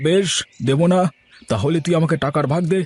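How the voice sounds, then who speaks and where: A man voicing a stern, commanding village man in a cartoon, threatening and brisk. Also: fairly high pitch, darkish tone, slight background noise, natural voice. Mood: demanding. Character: stern bossy village man, middle-aged. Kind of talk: Bengali cartoon voice acting.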